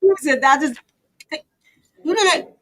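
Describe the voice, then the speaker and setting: A woman's voice: high voice, lively intonation, moderate pace, bright, forceful tone. An American woman reacts live as a stream host. She talks casually and colloquially, stretching words for emphasis and exclaiming often. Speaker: livestream host.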